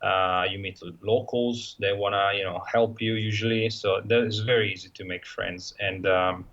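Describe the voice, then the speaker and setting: A man's voice: lowish voice, fairly even intonation, normal speed, a bit bright, highs cut off. A man with an Italian accent, talking casually as an interview guest, hesitant and full of fillers and restarts. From Italy. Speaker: guest, motorcycle traveler.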